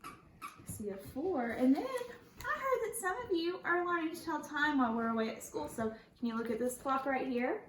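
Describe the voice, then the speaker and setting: A woman schoolteacher reading a picture book to young children in an animated, sing-song way, pausing to put questions to them. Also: high-pitched. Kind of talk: read-aloud picture book lesson for children. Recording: echoey room, faint background noise.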